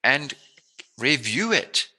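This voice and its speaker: A man's voice: mid-range voice, big pitch swings, takes it slowly, bright, forceful tone. A man hosting an interview in a casual, joking way, with a playful, rambling, musing manner. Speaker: interview host.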